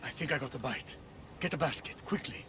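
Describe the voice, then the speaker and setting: A man's voice: medium pitch, brisk, noisy background, natural voice. A man playing an aged Spartan warrior grandfather, wry and steely, in a video game. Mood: reflective, calm. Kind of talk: video game cutscene acting.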